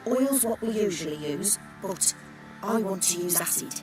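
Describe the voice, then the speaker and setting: A determined, blunt-spoken woman armourer from a fantasy video game, played by an actress. Her voice is mid-pitched and assertive, with a proud, resentful edge, and music plays behind it. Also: expressive, moderate pace, lightly acted, low-quality recording. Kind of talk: dramatic game dialogue.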